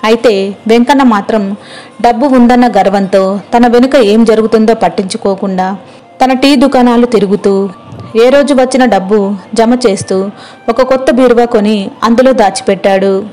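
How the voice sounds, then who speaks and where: A woman's voice: a little high, normal speed, dark tone. A woman narrating a scripted moral story as its storyteller.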